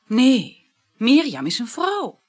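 An actress in a radio play voicing a dreamy, flirtatious married woman, speaking in a breathy, languid, halting murmur. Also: fairly high pitch, huge pitch swings, exaggerated voice. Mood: wistful. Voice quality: breathy, husky. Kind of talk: intimate radio play acting.

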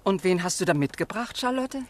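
Lively, fussing old pastor's wife. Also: female voice, lowish voice, a little fast. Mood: welcoming, chatty. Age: older. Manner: homely, gently scolding. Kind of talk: German literary radio drama dialogue.

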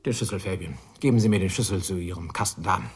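An actor playing a curt, impatient police lieutenant interrogating suspects in a radio crime play. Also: male voice, low-pitched, quick pace, very noisy, close to an everyday voice. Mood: impatient, commanding. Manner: curt, commanding. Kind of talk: German radio drama acting.

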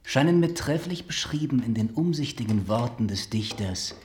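Actor as a suave young charmer, lively-pitched. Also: male voice, normal speed, slight background noise, close to an everyday voice. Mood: playfully grandiose. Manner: smooth-talking, mock-solemn. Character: suave young gentleman charmer, teasingly grandiose. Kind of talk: German radio play acting.

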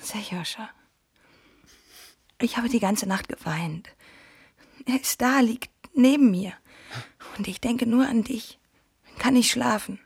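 An actress playing a passionate, distraught young married woman in a radio play, with a breathy voice. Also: on the high side, slow, long pauses, a little mellow, full character voice. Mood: anguished. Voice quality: breathy, often dropping to whisper. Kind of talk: intimate, emotional radio drama acting.

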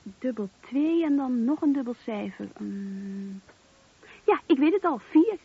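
A woman's voice: high voice, lively intonation, unhurried, husky, full character voice. An actress playing a chatty, eager switchboard operator who thinks aloud as she talks in a radio play. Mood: eager, recalling. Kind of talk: Dutch radio drama dialogue. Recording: thin phone-like old radio recording.

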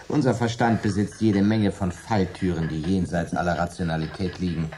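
An actor playing a middle-aged prankster dinner guest in a radio play, teasing and lowering to a menacing hush. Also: male voice, fairly even intonation, moderate pace, a little hiss or hum, lightly acted. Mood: musing.

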